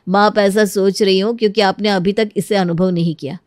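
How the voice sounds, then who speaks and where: A woman's voice: medium pitch, fairly even intonation, normal speed, darkish tone, no echo, studio quality, close to an everyday voice. A woman voicing a practical, patient grown son talking to his mother in a moral storybook tale. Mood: patient, amused. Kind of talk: animated moral storybook dialogue.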